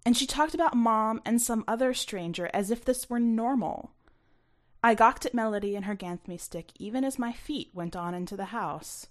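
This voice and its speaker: A woman's voice: on the high side, moderate pace. A woman reading her own short story aloud as scripted first-person narration.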